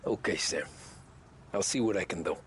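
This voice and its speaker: An actor playing a plainspoken, somewhat wary young mob recruit in a video game cutscene. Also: male voice, low voice, moderate pace, a little hiss or hum, lightly acted.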